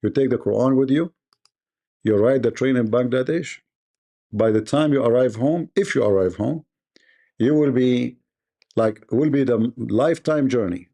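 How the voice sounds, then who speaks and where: Combative mocking man with a mellow voice. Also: mid-range voice, normal speed. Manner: combative, mocking, repeats questions insistently. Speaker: debate host. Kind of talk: live call-in debate.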